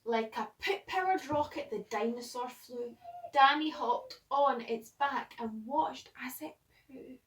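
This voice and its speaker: High-voiced woman reading a storybook, unhurried. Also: recorded from a distance. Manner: expressive, dramatic pauses and emphasis. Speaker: schoolteacher reading a picture book aloud. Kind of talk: animated children's storybook read-aloud.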